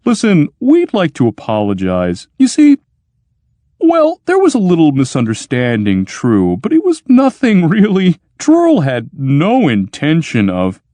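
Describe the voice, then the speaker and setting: A man's voice: lively intonation, a little slow, hardly any pauses, warm tone, no room sound. A man doing a frantic, fawning inventor. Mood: anxious. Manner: high, pleading and wheedling. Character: anxious, fawning male constructor, eager peacemaker.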